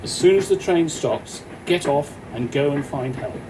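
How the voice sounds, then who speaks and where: An actor plays a composed policeman taking charge in an amateur radio drama. He is measured and reassuring, with a firm air of authority. Train carriage noise runs clearly behind him. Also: on the high side, expressive. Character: Mike, calm, commanding off-duty policeman on a train. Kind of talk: amateur radio play acting.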